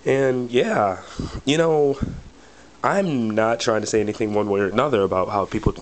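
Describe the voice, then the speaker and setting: A man's voice: medium pitch, normal speed, low-quality recording. A man with a bad cold and a slightly cracky voice, casually reviewing a product and rambling with lots of fillers. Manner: rambling, many fillers like "but anyway" and "or whatever".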